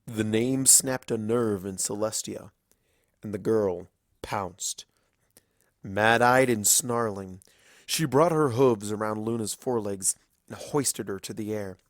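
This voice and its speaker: A male narrator with a fairly low voice reads a dramatic story. He goes slowly and gravely, pausing for weight, in a dry recording with little room sound. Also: dry recording. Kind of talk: dramatic scripted fiction narration.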